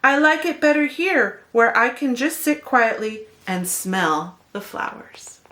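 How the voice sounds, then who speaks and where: A woman voicing a gentle, placid, contented young bull in a storybook reading. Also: on the high side, animated, unhurried.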